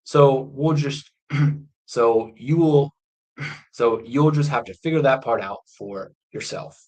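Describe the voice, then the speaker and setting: A man teaching English as a live lesson, telling a true crime story slowly and explaining key words along the way. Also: mid-range voice, rough recording. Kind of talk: slow storytelling lesson for learners. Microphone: livestream microphone.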